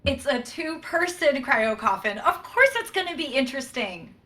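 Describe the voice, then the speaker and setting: A woman's voice: high voice, normal speed. A woman playing an eager, quirky, chatty starship science officer in a sci-fi comedy play. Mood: curious. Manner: excitable, chatty.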